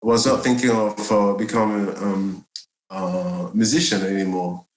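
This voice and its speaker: Low-voiced man laughing through his story. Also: steady tone, normal speed. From Cameroon. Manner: laughs often, hesitant with many fillers. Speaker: guest, jazz bass player. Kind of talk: casual interview chat. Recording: echoey room.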